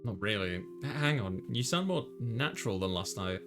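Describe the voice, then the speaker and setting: A man's voice: fairly low pitch, expressive, normal speed, a bit bright, a little hiss or hum. A man hosting a let's play, chatting casually and reading a visual novel's lines aloud. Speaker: host, gamer reading player character lines.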